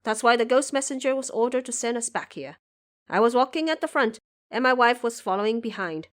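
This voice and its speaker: A woman's voice: on the high side, lively intonation, brisk, natural voice. Woman playing a humble male subject, deferential, earnest, loyal, recounting events, audio drama. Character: humble, loyal male commoner subject reporting to his emperor. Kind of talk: audio drama character acting.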